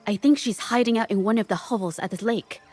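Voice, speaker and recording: A woman's voice: on the high side, fast, darkish tone, faint music behind, natural voice. An actress playing a tense, dutiful woman scout in a fantasy video game, speaking urgently and earnestly. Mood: anxious, cautioning. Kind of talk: dramatic video game character acting.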